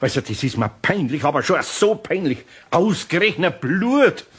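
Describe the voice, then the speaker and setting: An actor with a Bavarian accent playing a good-natured but fussy older master carpenter in a radio play, grumbling and fretting in a homely way. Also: male voice, on the high side, sing-song, normal speed, slightly rough. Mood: flustered, upset.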